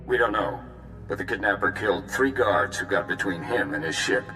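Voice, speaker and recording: A man's voice: fairly low pitch, animated, moderate pace, close to an everyday voice. An actor playing a businesslike male security captain in a game cutscene, reporting urgently in formal military style. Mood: urgent, official. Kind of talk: video game cutscene acting. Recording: roomy echo, music behind.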